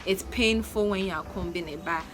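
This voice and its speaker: A woman's voice: on the low side, a little fast. A young woman with a Ghanaian accent giving earnest, coaching advice in a casual vlog, repeating her points. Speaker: natural hair vlogger. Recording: music playing under the voice. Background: background music.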